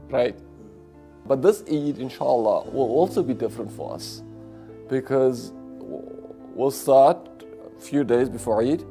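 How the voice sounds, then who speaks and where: A man in his forties with a South African accent, Afrikaans his first language, reflecting in an interview with many fillers. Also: medium pitch. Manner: reflective, warm storytelling with frequent fillers. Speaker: diplomat. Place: living room, indoors. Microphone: lapel.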